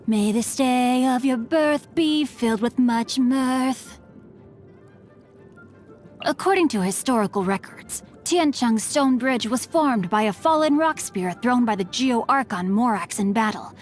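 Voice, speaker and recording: A woman's voice: a little high, expressive, slow pace. A woman voicing a courteous, bookish teenage boy martial artist in a video game, with refined, eloquent and formal diction. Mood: playful, earnest. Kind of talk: video game character voice lines. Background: music.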